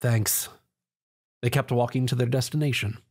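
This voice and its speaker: Animated male story narrator, husky. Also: mid-range voice, moderate pace. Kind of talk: dramatic scripted story narration.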